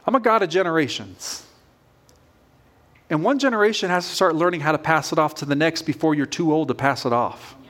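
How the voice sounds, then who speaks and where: A man's voice: on the high side, brisk, fairly bright tone. A man in his forties preaching a sermon as a pastor in an informal, conversational storytelling manner. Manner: informal, self-questioning storytelling.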